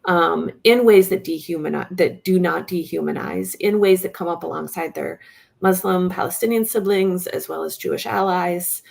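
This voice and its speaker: A woman with a heavily gravelly, creaky voice pitched on the low side. She speaks as a panel guest, earnest and halting, often pausing to find her words. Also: darkish tone. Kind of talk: reflective panel discussion.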